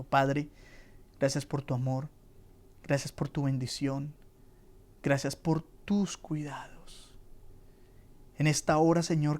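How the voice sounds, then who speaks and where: Earnest pastor preaching a sermon. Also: male voice, a little high. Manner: earnest and emphatic, softening into prayer. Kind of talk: sermon with closing prayer.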